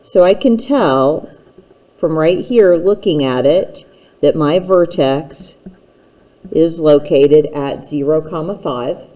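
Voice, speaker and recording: Slow-talking mellow woman teaching. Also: low voice, dull audio. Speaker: math teacher. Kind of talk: instructional math lesson, explaining step by step.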